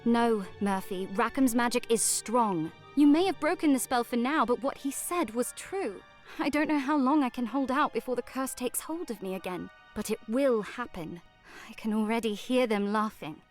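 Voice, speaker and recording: A woman's voice: on the high side, normal speed, lightly acted. An actress voicing a cursed woman trapped in a haunted house, explaining in a solemn, urgent way. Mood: grave. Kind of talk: video game voice acting. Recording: faint game music behind.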